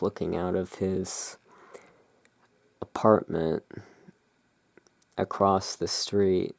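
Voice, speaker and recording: A person giving a relaxed, musing ASMR commentary as a tarot reviewer, talking in an unhurried, unscripted way. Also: sits low, creaky, no room sound. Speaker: tarot reviewer in a roleplay as emporium owner.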